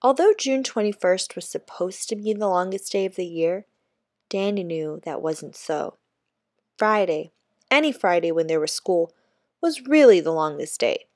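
A woman reading a children's story aloud like a teacher, in a measured, expressive narrating manner. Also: fairly low pitch, no echo, studio quality.

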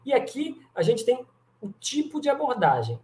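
A man's voice: extremely high pitch, wildly swooping pitch, moderate pace, a little mellow. A middle-aged man giving an online lecture over slides on a video call, explaining step by step with frequent fillers and restarts. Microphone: webcam on video call. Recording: echoey room.

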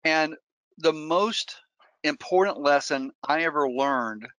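Earnest, bright-voiced man presenting. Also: fairly high pitch, slow pace, dry recording, low-quality recording. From Colombia. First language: Spanish. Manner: earnest storytelling with frequent restarts. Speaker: real estate investor and webinar guest speaker. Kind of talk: webinar presentation.

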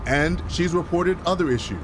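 A middle-aged man reporting an investigative news story in a measured, emphatic scripted delivery. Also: on the high side, a little hiss or hum, rough recording.

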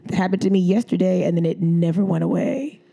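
A young woman with a raspy, husky voice that has gone hoarse, chatting on a comedy podcast. Her tone sits dark and mellow, and she keeps the banter sassy and teasing. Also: lowish voice. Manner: sassy, teasing, quick comebacks. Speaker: podcast co-host. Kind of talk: casual comedic chat.

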